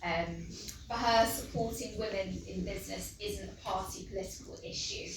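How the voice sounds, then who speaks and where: A woman's voice: mid-range voice, fairly even intonation, normal speed, background noise. A woman accepting an award on a colleague's behalf, light and joking. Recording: echoey hall from far off.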